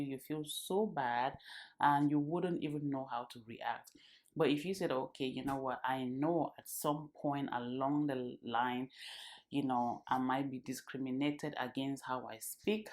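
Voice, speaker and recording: A woman in her thirties from Cameroon, talking earnestly to her channel's viewers and repeating phrases for emphasis. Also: low voice. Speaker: vlogger. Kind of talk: casual talk to camera.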